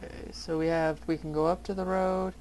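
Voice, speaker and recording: A man's voice: on the high side, level tone, a little fast, background noise. A man giving casual gameplay commentary as he plays, thinking aloud in a musing way. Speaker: video game streamer.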